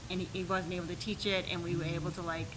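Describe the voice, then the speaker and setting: A soft-spoken woman, a school principal, explaining schedules and budget requests at a meeting, with frequent pauses and ums. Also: lowish voice, flat delivery, very noisy. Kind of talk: presenting at a school board meeting.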